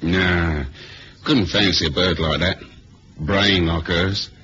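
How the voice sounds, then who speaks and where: An actor playing a tough, wry British spy in a 1970s radio drama, speaking in a laconic, colloquial way. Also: male voice, low voice, fairly even intonation, slow pace, sharp tone, full character voice. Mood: wry, easygoing. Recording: dull, noisy old radio recording.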